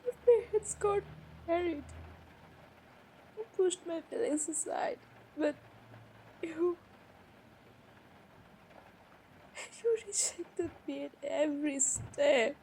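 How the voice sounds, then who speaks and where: A woman playing a hurt, defensive young woman in an emotional romantic roleplay, speaking haltingly with pauses. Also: extremely high pitch, warm tone, heavily acted. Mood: tearful, confessing. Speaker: audio roleplay performer. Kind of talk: improvised romantic roleplay drama. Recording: noisy background ambience.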